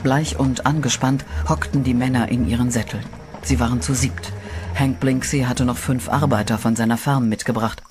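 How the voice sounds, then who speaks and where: A man reading scripted horror narration in a measured, dramatic way. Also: medium pitch, fairly even intonation. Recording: music bed under voice.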